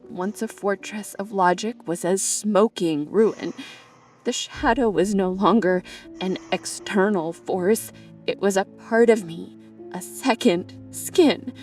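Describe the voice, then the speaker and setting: A woman playing a traumatized, meticulous archivist, narrating a psychological horror drama in a brooding, deliberate way. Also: medium pitch, darkish tone, natural voice. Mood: haunted, bleak. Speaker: narrator and lead character of an audio drama.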